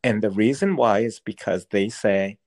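A man's voice: on the low side, moderate pace, a little mellow. A man whose first language is Cantonese, speaking as a school district educator in an interview, earnest and often restarting as he searches for words. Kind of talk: thoughtful interview answers.

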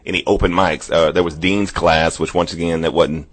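Deep-voiced man telling rambling stories. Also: steady tone, normal speed. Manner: rambling storyteller, many restarts. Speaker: stand-up comedian guest. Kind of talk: casual podcast chat.

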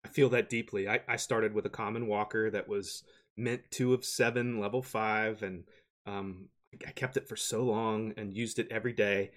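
A man's voice: fairly low pitch, steady tone. Upbeat male podcast host. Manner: upbeat, quick affirmations. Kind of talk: casual chat.

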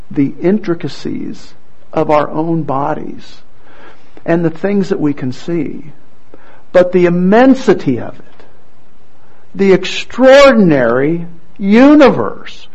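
Man, pastor, sermon, husky voice, earnest and halting, dull lo-fi church recording. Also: on the high side, darkish tone. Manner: slow, earnest delivery with halting restarts. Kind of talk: sermon with scripture reading.

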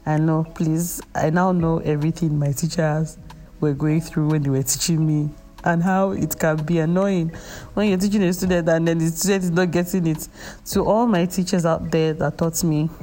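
Low, mellow Nigerian woman chatting. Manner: chatty, self-mocking, hesitant with many fillers. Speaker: podcast host. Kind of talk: casual chat.

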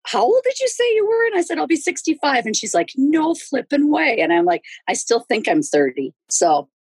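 A woman's voice: sits high, very animated, fairly quick, darkish tone, no room sound. A woman in her sixties, a podcast guest chatting casually and telling stories, laughing often. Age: 60s. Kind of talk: casual interview chat.

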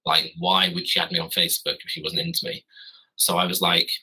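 Guy, 30s, podcast guest on a call from his garden office; husky, forceful tone, meandering and self-correcting. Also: low voice, flat delivery, fairly quick, rough recording. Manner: rambling, self-correcting, wry. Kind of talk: casual storytelling.

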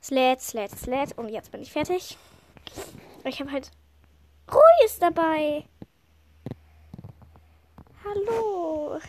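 A woman's voice: high-pitched, big pitch swings, drawn-out words, pauses often, slight background noise. A woman with a head cold giving casual, rambling commentary while she plays a game, sniffling and chatting with lots of fillers. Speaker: gameplay commentator.

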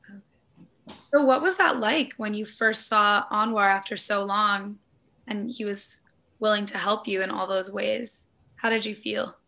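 A woman interviewer who asks gentle, probing follow-up questions. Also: medium pitch, measured pace, creaky.

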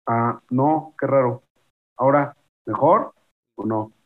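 A man with a Mexican Spanish accent in casual chat on a video call, talking in heavy slang and swearing, and acting out other people's words in lively voices. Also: mid-range voice, slow pace, phone-quality sound.

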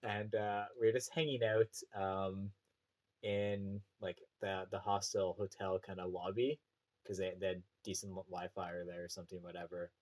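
A young man with a Canadian prairie accent, chatting casually on a podcast. His voice sits low, and his talk wanders through fillers and restarts. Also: little pitch movement, moderate pace, a little mellow. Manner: rambling, many fillers and restarts. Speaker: teacher.

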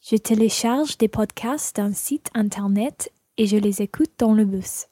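A woman's voice: mid-range voice, normal speed, warm tone, no room sound, lightly acted. A woman playing a friendly language learner in a lesson radio play, speaking carefully and a little hesitantly. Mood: earnest, careful. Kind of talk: scripted language-course radio play dialogue.

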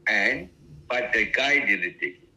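A man's voice: lowish voice, animated, a little slow, pushed and bright. A man, a retired ambassador telling stories in a leisurely, good-humoured way during an interview. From Malaysia. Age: 90s. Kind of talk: casual interview reminiscence.

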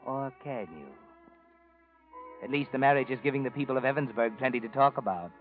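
A man's voice: mid-range voice, a little fast. Knowing male narrator, tinny old broadcast with music underneath. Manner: knowing, probing. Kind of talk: second-person radio drama narration.

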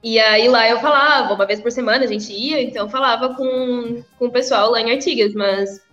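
A woman's voice: fairly high pitch, a little fast, fairly bright tone. A young woman and former missionary chatting casually in an interview, often laughing between phrases. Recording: echoey room, dull sound, faint music.

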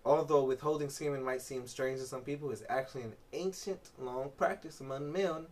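A man's voice: mid-range voice, fairly quick, darkish tone. A man hosting a casual podcast, reading aloud and riffing in an informal, profane, joking way. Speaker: podcast host. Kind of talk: casual chat with read-aloud article commentary.

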